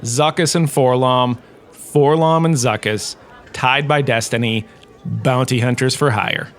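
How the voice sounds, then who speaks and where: A man's voice: mid-range voice, measured pace, faint music behind, close to an everyday voice. A man playing a self-important washed-up lecturer in a space cantina, telling lore with wry, theatrical emphasis in a conversational way. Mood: wry, storytelling. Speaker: host, lecturer. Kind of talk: scripted comedic lore lecture, narration.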